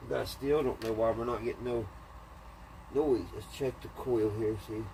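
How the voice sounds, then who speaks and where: A man's voice: mid-range voice, fairly even intonation, normal speed, dark tone. A man in a casual hands-on repair video, thinking aloud in a folksy, muttering way as he works. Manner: folksy, muttering to himself while working. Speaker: hobbyist repairing an antique radio. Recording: clearly noisy hands-on home video.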